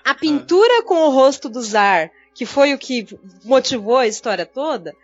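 A Brazilian woman with a high-pitched voice chats informally on a podcast panel. She sounds a little muffled and lo-fi, over background music. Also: very animated, moderate pace. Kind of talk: casual chat. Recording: dull lo-fi audio, music behind.

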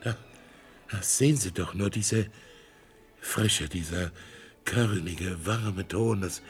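A man's voice: deep voice, takes it slowly. A proud and stubborn old art collector, childlike in his fervour. He lingers over his words and boasts about his treasures. Faint music sits beneath the radio play. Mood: enthusiastic. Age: older.

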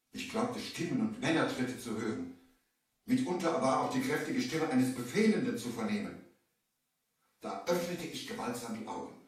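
A man's voice: medium pitch, close to an everyday voice. A male actor playing a shaken merchant who dramatically narrates an eerie sea tale in a 1970s children's adventure radio play. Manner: dramatic, storytelling. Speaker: narrator and lead in an audio drama. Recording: echoey, distant 1970s vinyl record.

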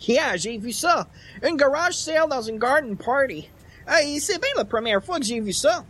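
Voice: high-pitched, expressive, moderate pace, fairly bright tone. Personne jouant un réparateur franglais québécois, très expressif. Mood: friendly, casual. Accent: Quebec French. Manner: colloquial, franglais, slangy. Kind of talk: old-time radio comedy acting.

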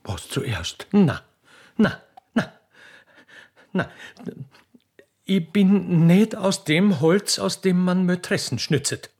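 A man voicing a mature, rich butcher's widow in a comic radio play, wooing in folksy, halting, insistent Viennese. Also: high voice, very animated, darkish tone, husky, voice pushed up, heavily acted. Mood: indignant, coaxing.

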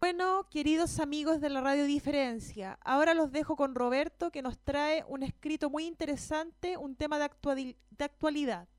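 A woman's voice: high-pitched, moderate pace, hardly any pauses, no echo, clean studio sound. A woman presenting informally as a radio host. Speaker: radio host handing over to a colleague.

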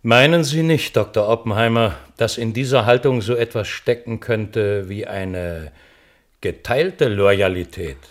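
Male actor as searching hearing chairman, radio drama, fairly low voice, courteous formal deliberate delivery. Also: close to an everyday voice.

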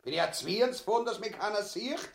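An actor playing a gluttonous, crude, clownish husband in a farcical radio play, with a Viennese Austrian accent and exaggerated, coarse delivery. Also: male voice, high-pitched, animated, creaky. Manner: drawn-out, exaggerated, coarse delivery with big swings.

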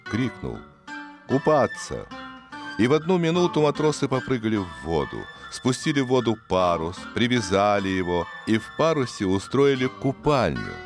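A man reads a story as an audiobook narrator, in an expressive, suspenseful storytelling manner. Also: fairly low pitch, fairly quick, few pauses. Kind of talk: dramatic scripted story narration. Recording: music behind the voice. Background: music.

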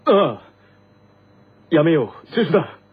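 A man's voice: on the high side, big pitch swings, measured pace, breathy edge, narrow, tinny audio, exaggerated voice. A man acting as an earnest, stern teenage school tennis captain in a radio drama skit, speaking in commanding declarations. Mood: brisk, then baffled. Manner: declarative, commanding, self-serious.

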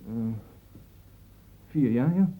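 An actor playing a defensive, evasive young man under suspicion in a Dutch radio drama, halting and touchy in his protests. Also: fairly high pitch, animated, breathy edge, heavily acted. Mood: sullen, touchy. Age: young adult. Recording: muffled phone-like old radio recording.

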